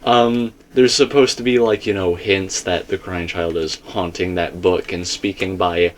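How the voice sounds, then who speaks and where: Rambling man with a lowish voice. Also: fairly even intonation, a little slow, slight background noise. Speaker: host. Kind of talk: casual theory discussion.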